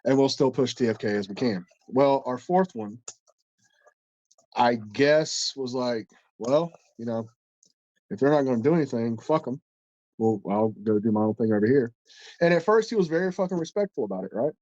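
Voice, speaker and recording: A man's voice: medium pitch, expressive, normal speed, a little mellow, no room sound, lo-fi sound. A man co-hosting a casual podcast, stuffed up and congested, telling a long story in a rambling, profane way with many restarts. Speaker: podcast co-host.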